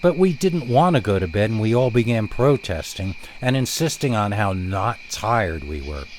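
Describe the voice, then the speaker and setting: Low-voiced man narrating a scary story. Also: expressive, normal speed, slight background noise, poor audio. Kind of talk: dramatic scripted narration of a first-person story.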